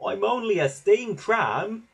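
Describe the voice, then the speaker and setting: A small, humble tram engine from a children's storybook, played by a man, worn out and plaintive. The voice is raised and somewhat husky. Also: high voice, animated, slow pace, pitched above the performer's own voice, full character voice. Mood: weary. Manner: puzzled, plaintive. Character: Toby, humble, weary little male steam tram.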